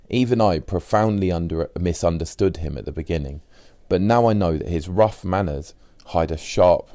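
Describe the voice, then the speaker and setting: A man's voice: sits low, mellow, no echo, studio quality. A man reading a first-person diary aloud as scripted audiobook narration.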